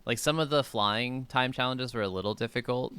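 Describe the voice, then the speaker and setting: A man's voice: mid-range voice, brisk, pushed and bright, smooth, no room sound. A man talking casually as a co-host of a video game podcast. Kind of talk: casual chat.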